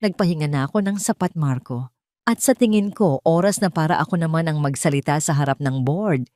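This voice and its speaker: A woman's voice: low voice, fairly quick, mellow, no room sound, close to an everyday voice. A woman voicing a wronged wife and heiress who speaks firmly and formally in an audio drama. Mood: defiant. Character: wronged young wife and heiress, firm and resolute.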